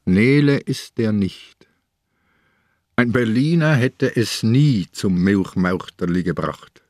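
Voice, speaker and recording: An old, ailing police inspector in a radio play. His voice is low and closely recorded without room sound. He probes bluntly, with a dry, stubborn edge. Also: animated, slow pace, a little mellow, no room sound. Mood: brooding, suspicious. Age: older. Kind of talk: radio drama acting.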